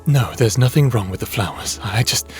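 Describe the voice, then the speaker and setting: A man's voice: mid-range voice, moderate pace, darkish tone, soft background music, natural voice. A man in a fantasy audio drama playing a lonely, brooding god of the underworld, earnest and hesitant in his speech. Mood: uneasy, pleading.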